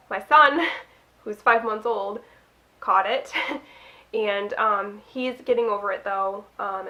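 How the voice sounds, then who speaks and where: A woman's voice: mid-range voice, pushed and bright, muffled recording. A young woman with a stuffy nose from a cold, chatting casually to camera about her reading, with lots of little "um"s and laughs. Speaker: book vlogger.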